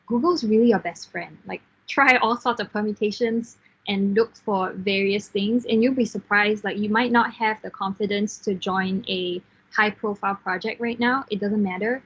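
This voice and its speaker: A woman in her thirties from Singapore, a software team lead giving frank, encouraging career advice in an online class, with fillers and restarts. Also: mid-range voice, steady tone, moderate pace, slightly rough, muffled recording. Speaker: guest speaker, software engineer team lead.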